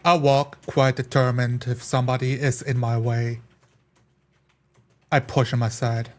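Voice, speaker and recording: A man playing a tabletop fantasy game, describing his character's actions in halting, deliberate phrases. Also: medium pitch, flat delivery. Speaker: tabletop roleplay player.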